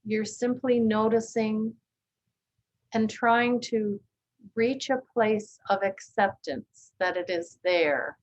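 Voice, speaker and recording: A woman leading a guided body scan meditation, speaking gently and calmly with long, unhurried pauses. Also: medium pitch, muffled recording. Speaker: meditation teacher.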